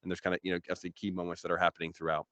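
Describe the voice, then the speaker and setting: A man's voice: low-pitched, completely flat delivery, racing, fairly bright tone, no room sound, highs cut off. A man giving a casual, explanatory business talk as an expert guest, in an informal conversational manner. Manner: informal, explanatory, frequent "you know".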